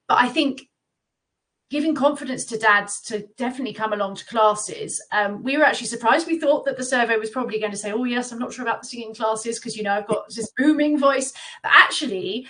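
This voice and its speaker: A woman's voice: fairly high pitch, fairly quick, fairly bright tone. Chatty reassuring woman. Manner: chatty, reassuring, many fillers. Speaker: guest from a baby class platform. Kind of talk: casual live Q&A. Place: remote live video call.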